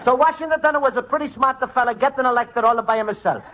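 A man's voice: extremely high pitch, fairly quick. A man playing a good-natured Italian immigrant in an old radio comedy, speaking earnest, simple broken English with an Italian accent. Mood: earnest, wistful. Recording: noisy, dull vintage radio recording.

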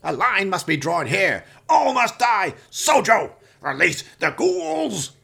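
A man's voice: sits high, very animated, takes it slowly, vocal fry, bright, forceful tone, breathy edge, voice pushed up, full character voice. A man playing a crazed, grandiose mad scientist in a comic horror radio play, ranting theatrically and indignantly. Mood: furious.

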